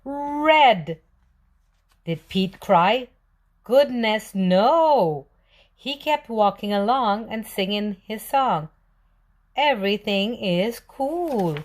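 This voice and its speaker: A woman's voice: mid-range voice, lively intonation, drawn-out words. A woman reading a storybook aloud for young children, expressively asking questions and answering them. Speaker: children's read-aloud presenter.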